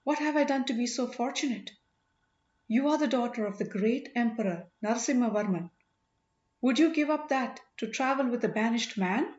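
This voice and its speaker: A woman's voice: on the high side, normal speed, a little mellow, natural voice. A woman voicing an earnest, courteous, lovestruck young king in exile in an audiobook story. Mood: astonished, courteous. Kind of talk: audiobook character dialogue. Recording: roomy, slightly dull home recording.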